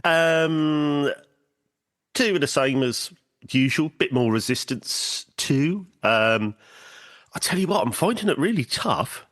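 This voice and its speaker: A man's voice: on the high side, animated, takes it slowly, a bit bright. A man, stuffy from a cold, chatting hesitantly as a podcast guest with lots of ums and restarts. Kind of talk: casual chat.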